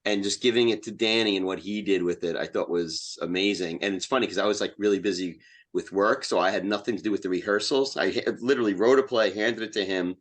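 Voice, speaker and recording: A man speaking casually as an interview guest, in a halting, self-effacing way. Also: lowish voice, a bit bright.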